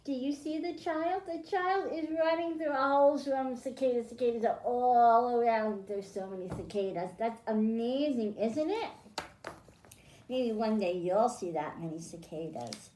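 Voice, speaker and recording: Female storytime host, higher-pitched, teaching small children in simple terms; echoing room. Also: normal speed, mellow. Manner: simple explanations addressed to children, with questions to them. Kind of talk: children's storytime read-aloud and science lesson. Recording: roomy, echoing indoor sound.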